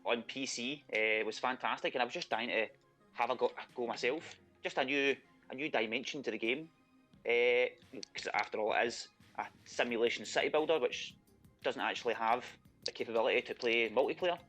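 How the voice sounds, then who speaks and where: A man with a thick Scottish accent chats casually and self-deprecatingly, filling his sentences with "uh" and "eh" as he talks. His pitch sits in a middling range for a man. Faint music plays underneath. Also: a little fast, a bit bright. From Scotland. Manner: chatty, self-deprecating, many "uh" fillers. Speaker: contestant. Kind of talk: casual interview chat.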